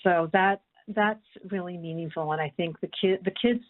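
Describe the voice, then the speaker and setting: Woman filmmaker on a muffled phone conference, lowish voice, earnest and kinda halting. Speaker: documentary filmmaker. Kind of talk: unscripted panel discussion on a conference call. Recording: muffled narrow-band conference call line.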